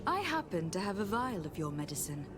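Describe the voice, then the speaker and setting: A woman playing a shrewd, skeptical adventurer in a video game, with measured, formal, challenging questioning. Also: medium pitch, sing-song, over music, close to an everyday voice.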